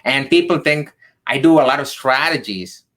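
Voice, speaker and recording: A man from southern India speaks with a bright, forward tone at an ordinary middle pitch. He tells his story earnestly and with emphasis as an interview guest, often checking in with "right?". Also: animated, moderate pace. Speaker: guest, tech career coach.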